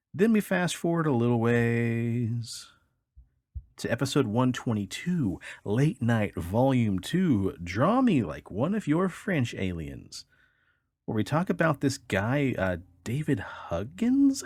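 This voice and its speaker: A man's voice: mid-range voice, a little mellow, dry recording, clean studio sound. A man co-hosting a casual comedy podcast, chatting in a profane, jokey, suggestive way. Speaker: podcast co-host.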